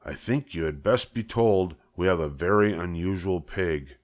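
A man's voice: on the low side, sing-song, normal speed. A man voicing an earnest, solemn farmer in a storybook, awestruck and emphatic. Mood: shaken, solemn.

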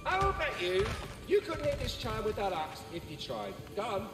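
Mouthy ghost squirrel from a game, high pitched and irritable, big reverb, music behind. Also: male voice, huge pitch swings, moderate pace. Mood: taunting, impatient. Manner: cocky, mouthy, nagging. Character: brash, irritable, taunting spectral squirrel. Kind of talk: video game character acting. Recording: echoey, distant, long reverb, music behind.

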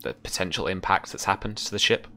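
A young man working as game master, narrating a tabletop scene in a casual, halting, descriptive way. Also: low-pitched, gravelly, bright, forceful tone, a little hiss or hum. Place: indoor room, remote session. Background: music.

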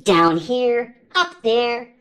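A woman voicing a playful little boy bear cub in a storybook read-aloud, with excited, wide-swinging exclamations. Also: on the high side, extremely slow, heavily acted.

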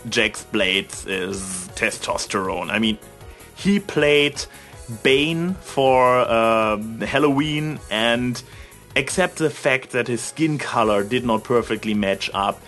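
A man's voice: medium pitch, takes it slowly, fairly bright tone. Casually rambling man, emphatic, over music. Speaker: vlogger.

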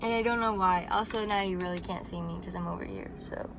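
A young woman vlogger with a slightly raspy, deep-for-her voice, rambling casually with lots of fillers, filmed on a phone in her car and later in her room. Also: fairly even intonation, fast talker. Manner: chatty, rambling, lots of "like" and "freaking". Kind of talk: casual vlog chat. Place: inside a car, then a bedroom. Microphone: phone camera on dashboard, then handheld. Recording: noisy car recording.

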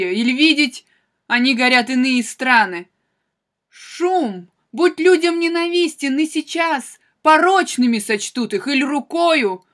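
A woman playing a proud, betrayed and exiled wife in a classical tragedy monologue, declamatory, measured and intense. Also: high voice, fairly bright tone, full character voice. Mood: proud, reproachful.

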